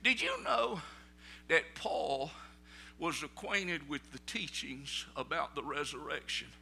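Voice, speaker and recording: A middle-aged Southern preacher man with a high, piercing voice. He spins folksy stories in down-home talk, then climbs into fervent shouted preaching. His tone stays sharp and bright throughout. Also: takes it slowly, creaky, husky. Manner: folksy storytelling, rising to shouted preaching peaks; Southern vernacular, "ain't no". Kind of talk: sermon.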